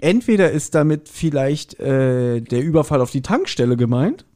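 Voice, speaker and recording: A man's voice: a little high, sing-song, moderate pace, dark tone. A man co-hosting a podcast, recapping a story in casual, chatty conversation. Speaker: podcast co-host. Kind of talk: casual chat recapping an audio drama episode.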